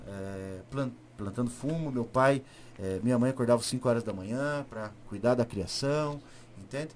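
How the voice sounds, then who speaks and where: A man from southern Paraná with a rural southern Brazilian accent, speaking as a politician being interviewed, emphatic and halting, repeating words and asking rhetorical questions. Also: medium pitch, slight background noise. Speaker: state deputy, interview guest. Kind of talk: political interview, spontaneous speech.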